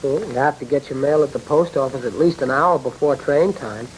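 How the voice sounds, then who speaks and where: An actress playing a reluctant teenage son in a 1930s radio drama, speaking plainly. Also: female voice, a bass voice, fairly quick, poor audio. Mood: glum. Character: teenage boy son, sensible and glum. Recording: old radio recording with faint hiss.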